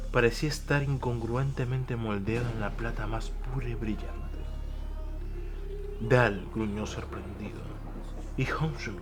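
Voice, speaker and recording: A man's voice: on the low side, noisy background. A man narrating a scripted war story for an audio drama in a dramatic storytelling style. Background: prominent music.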